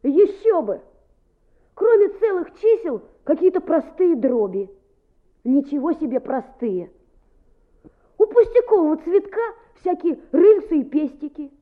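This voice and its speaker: An actress playing a lively, boastful small schoolboy in a radio play, muttering and exclaiming expressively to himself. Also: female voice, high-pitched, a little slow, phone-quality sound. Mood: indignant. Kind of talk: Soviet-style radio play acting.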